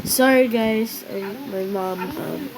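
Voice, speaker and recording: Slow, halting woman with a cold. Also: mid-range voice, gravelly. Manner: hesitant, lots of ums and restarts. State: has a cough and a cold. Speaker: vlogger. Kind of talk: casual narration while coloring. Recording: loud background noise.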